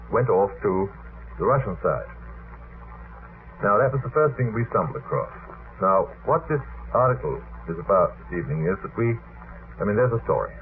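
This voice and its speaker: A middle-aged man telling a story unscripted as a guest on a late-night radio talk show, in formal, discursive phrasing with frequent asides. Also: lowish voice. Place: radio studio. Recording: noisy phone-like vintage radio recording.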